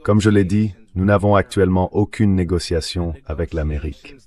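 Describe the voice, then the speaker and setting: A man's voice: low-pitched, fairly quick, mellow, close to an everyday voice. A man's voice reading the translated statement of a government spokesman as a voice-over.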